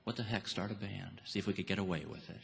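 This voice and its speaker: A man with a low, creaky voice chats as a musician guest. His delivery is casual and wry, and the long reverb of a hall surrounds him. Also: fast. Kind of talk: casual interview chat. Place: theatre stage.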